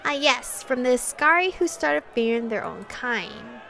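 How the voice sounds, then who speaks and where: A woman's voice: on the high side, expressive, gravelly, sharp tone, a faint crowd, slight background noise, exaggerated voice. Woman voicing an aloof, sardonic male vampire. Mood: mock thoughtful. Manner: measured, formal, mocking.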